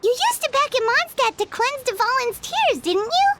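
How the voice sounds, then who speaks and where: Very high squeaky little fairy girl. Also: big pitch swings, normal speed, heavy background noise. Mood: curious. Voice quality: squeaky, childlike. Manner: chatty, refers to herself by name. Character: tiny excitable floating girl fairy companion, childlike. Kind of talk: anime game voice acting.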